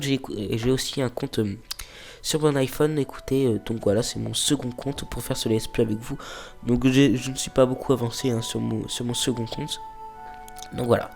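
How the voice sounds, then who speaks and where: A man's voice: mid-range voice, fairly even intonation, moderate pace, vocal fry, darkish tone, faint music behind. A man with a stuffy nose from a cold, casually commenting on a mobile game he plays, hesitant and full of fillers, sometimes reading the game's dialogue aloud. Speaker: let's play host.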